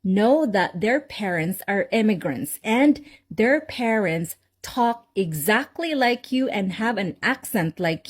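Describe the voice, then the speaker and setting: A woman with a Filipino accent talks straight to her viewers in a frank, chatty vlog. Her voice sits at a middle pitch for a woman. She speaks openly and casually, as if chatting with friends. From Philippines. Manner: chatty, frank, mimics mocking laughter. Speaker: vlogger. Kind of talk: casual talk to camera.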